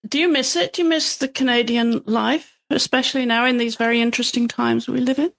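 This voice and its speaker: A woman's voice: a little high, fairly even intonation, brisk, vocal fry. A woman hosting a podcast, interviewing in a chatty, complimentary, conversational way.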